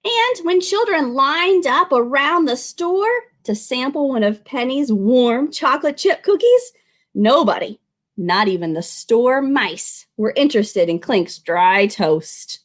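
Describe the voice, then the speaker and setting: A woman with a high, very lively voice hosts a children's storytime. She speaks like a librarian sharing a picture book, expressive and animated, addressing her young audience directly. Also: slow pace. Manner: animated, expressive, with exclamations and questions to young listeners. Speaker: children's librarian reading aloud.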